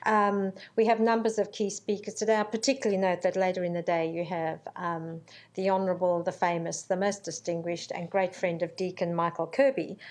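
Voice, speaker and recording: A middle-aged woman born in Zambia giving a formal conference welcome speech, measured and earnest, with frequent ums. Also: on the low side, level tone, a little mellow. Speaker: university vice-chancellor. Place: conference hall.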